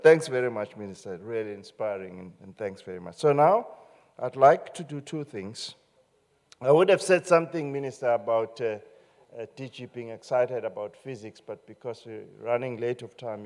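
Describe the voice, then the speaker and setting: Man in his fifties, low voice, good-humoured MC at a podium, echoing marquee. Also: darkish tone. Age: 50s. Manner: hesitant, with many fillers and repeats, good-humoured. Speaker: agency director-general and master of ceremonies. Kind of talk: formal event hosting. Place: large marquee tent at an outdoor event. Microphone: podium microphone on a stand. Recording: reverberant live event sound.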